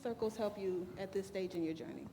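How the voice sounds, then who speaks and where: A woman's voice: mid-range voice, brisk, dark tone. A woman making unscripted remarks to an audience in an effusive, emphatic way. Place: large echoey room at a graduation event. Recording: echoey hall with background room noise.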